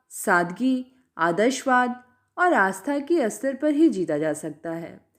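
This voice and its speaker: A woman reading a literary short story aloud in a measured, expressive way, her voice dropping at the end of phrases. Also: fairly high pitch.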